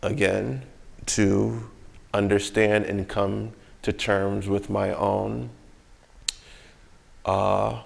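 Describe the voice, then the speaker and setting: A man with a fairly low, gravelly voice in reflective conversation. He speaks deliberately as a host, repeating phrases for emphasis. His delivery is markedly slow. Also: flat delivery. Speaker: host interviewing an illustrator.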